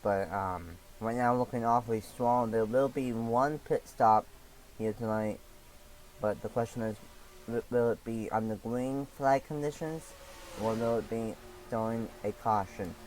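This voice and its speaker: A young man calling a sim racing league race play by play, a little raspy from allergies, with halting delivery, frequent fillers and restarts. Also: mid-range voice, fairly even intonation, darkish tone, slight background noise, low-quality recording.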